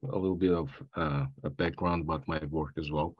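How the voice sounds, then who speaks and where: A middle-aged man from Armenia giving a panel talk, halting with many fillers and restarts, with dry asides. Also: a bass voice, steady tone, husky, muffled recording. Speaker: panel guest speaker.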